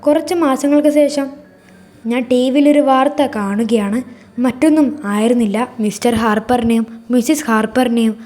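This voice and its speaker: A woman narrates a scripted first-person horror story in a measured, suspenseful way. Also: on the high side, a little mellow.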